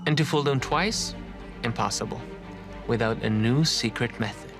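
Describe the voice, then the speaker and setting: A man narrating a comic intro film in a mock-dramatic, deadpan way. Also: mid-range voice, normal speed, gravelly, over music. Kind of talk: scripted comic voice-over.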